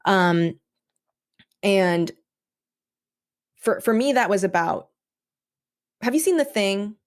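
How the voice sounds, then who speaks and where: A woman's voice: mid-range voice, animated, slow. A woman guest on a podcast explaining her own audio drama in casual chat, thinking aloud with many "like"s.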